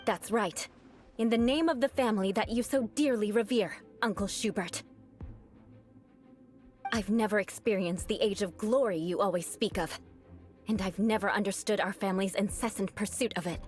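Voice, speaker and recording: A woman's voice: mid-range voice, normal speed, a little mellow, soft background music, natural voice. A woman playing a cool, defiant young knight. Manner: cool, composed, dryly cutting. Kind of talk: video game voice acting.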